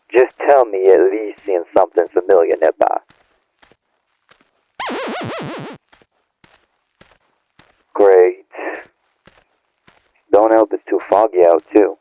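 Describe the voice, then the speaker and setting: A man's voice: deep voice, slight background noise. A man acting a bitter, irritated young friend in an audio roleplay, scolding in profane slang through a phone-like filter. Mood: annoyed, scolding. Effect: phone or radio filter. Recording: narrow tinny phone-like band.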